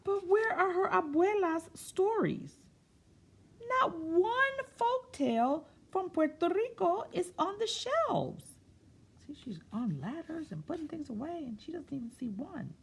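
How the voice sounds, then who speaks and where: A woman reading a picture book aloud for children, stretching key words expressively and pausing for soft explanatory asides. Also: very high-pitched, very animated, vocal fry. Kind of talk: read-aloud story time with explanatory asides.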